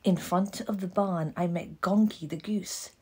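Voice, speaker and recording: A woman reads a children's picture book aloud at a leisurely pace. Her voice is mellow and a little husky, at a middle pitch. She tells it expressively, with measured pauses. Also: steady tone. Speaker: audiobook narrator.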